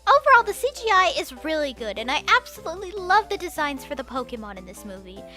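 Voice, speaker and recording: A young woman reading a scripted movie review as a voice-over, enthusiastic and expressive with casual slang. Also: high voice, fast, faint music behind.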